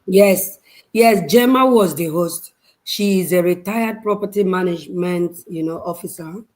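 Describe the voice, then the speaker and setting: A woman from Nigeria speaks as a panelist in a measured, formal way. Her voice sits a little low. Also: darkish tone. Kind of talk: video call panel talk.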